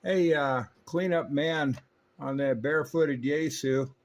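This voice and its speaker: An older man reviewing a vintage CB radio in a relaxed, knowledgeable, folksy manner, also chatting on air as a radio operator. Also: on the high side, slow pace, heavy background noise. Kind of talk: technical review narration and on-air radio chat. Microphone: stock desk microphone on the radio.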